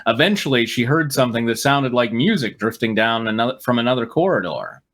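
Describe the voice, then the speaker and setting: A man narrates a story aloud in a middle-pitched voice. He plays up the drama a little, then drops into casual, wry commentary. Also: lively intonation, fairly quick, fairly bright tone. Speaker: reader and riffing co-host. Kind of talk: comic read-aloud of fan fiction with asides.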